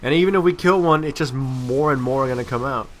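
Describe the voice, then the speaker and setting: A man's voice: medium pitch, fast, a little hiss or hum. A man giving casual, amateur video game commentary in a rambling, self-deprecating way.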